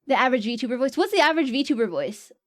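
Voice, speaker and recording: A chatty woman talking casually on a stream, with a somewhat high voice. She rambles with many fillers and restarts. Her pitch rises and falls freely with what she is feeling. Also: expressive, quick pace. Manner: pitch swings widely with mood.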